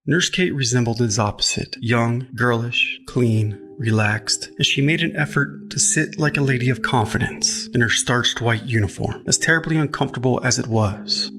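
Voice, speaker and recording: A man reading scripted literary fiction as a podcast narrator, with a measured storytelling delivery. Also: medium pitch, a bit bright. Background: music, guitar.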